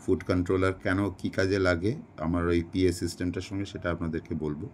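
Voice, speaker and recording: A man's voice: sits low, little pitch movement, quick pace, a little mellow, slight background noise. A man casually narrating an unboxing in an explanatory way, mixing in English phrases. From India. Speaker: product unboxing presenter.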